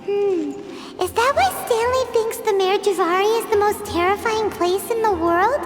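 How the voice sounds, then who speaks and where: A woman voicing a tiny childlike game sidekick with a squeaky voice, cheeky and blunt. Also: unhurried, loud music behind the voice. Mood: puzzled. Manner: exclamatory, blunt.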